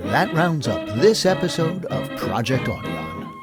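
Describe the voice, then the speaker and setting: A man's voice: fairly high pitch, sing-song, measured pace. A man hosting and reading a scripted closing announcement as the director of an audio drama production. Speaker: host and director. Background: loud music.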